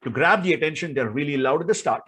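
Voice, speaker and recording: Fast, husky Indian-accented male coach. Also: fairly high pitch, lively intonation, fairly bright tone. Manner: emphatic, repetitive, checks with "okay?" and "right?". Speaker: voice and public speaking coach, presenter. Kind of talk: instructional talk to camera.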